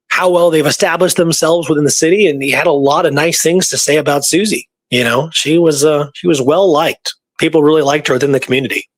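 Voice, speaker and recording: A man casually retelling history research from his notes, halting and informal as he reads out dates. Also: a little high, no echo.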